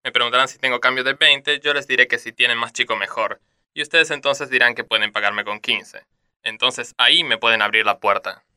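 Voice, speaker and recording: A man's voice: medium pitch, steady tone, a little fast, sharp tone, smooth. A man playing a sly, lovestruck criminal dealer, suave and melodramatic, in a parody radio drama. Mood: businesslike, secretive.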